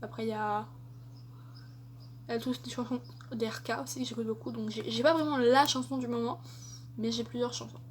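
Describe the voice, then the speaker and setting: Chatty young woman, slightly high voice. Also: steady tone, a little fast, pauses often, slight background noise. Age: young adult. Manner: chatty, hesitant, many fillers and laughs. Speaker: vlogger. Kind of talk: casual Q&A tag video.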